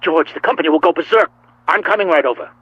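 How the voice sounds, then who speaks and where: An actor playing a nervous, placating company psychologist in a radio drama, hesitant and stammering, with a husky voice. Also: male voice, fairly high pitch, fast, fairly bright tone, dull audio. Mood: anxious pleading.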